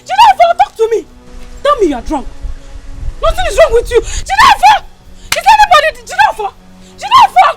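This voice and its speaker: A woman's voice: very high voice, sing-song, a little fast, long pauses. An actress playing a distraught village wife who wails and sobs for her husband, then pleads humbly. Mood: wailing. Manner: shrieking, sobbing, calling out repeatedly. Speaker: widow. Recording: music bed behind.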